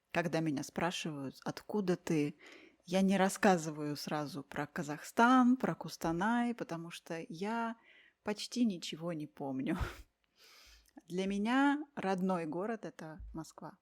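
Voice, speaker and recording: A woman from Russia speaks as a guest in a slow, clear conversation for language learners. Her voice sits a little low, and she takes her time, with very slow pacing and gentle pauses full of soft fillers. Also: expressive. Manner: unhurried, with many thoughtful pauses and soft fillers.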